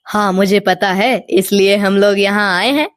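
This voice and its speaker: A woman voicing a serene, teasing young male god in an animated devotional tale. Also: mid-range voice, a little slow, no room sound, clean studio sound, pitched below the performer's own voice, close to an everyday voice. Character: calm, playful young male god Krishna. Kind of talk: animated devotional storybook acting.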